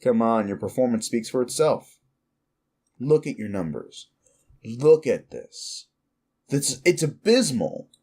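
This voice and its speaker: A man performs a smug, bullying male werewolf boss for an audio roleplay. He drawls slowly and addresses the listener directly with patronizing scorn. His mid-pitched voice swings widely as he needles and swears. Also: big pitch swings, a little mellow, husky, exaggerated voice. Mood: scornful, bullying. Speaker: office boss.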